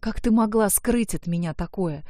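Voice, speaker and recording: A woman's voice: medium pitch, normal speed, warm tone, no echo, studio quality, natural voice. A woman voicing an indignant young female student in an audiobook, defiant, hurt and accusing. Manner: outraged, accusing. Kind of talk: acted audiobook dialogue.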